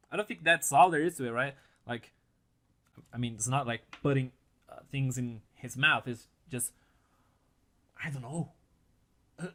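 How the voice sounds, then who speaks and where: A young man whose first language is Portuguese, excitedly chatting to camera about an anime, swearing and laughing often, on a stand mic set a little back. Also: medium pitch. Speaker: anime reaction channel host. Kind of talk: casual reaction commentary to camera. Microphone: mic on a stand, set a bit farther than usual.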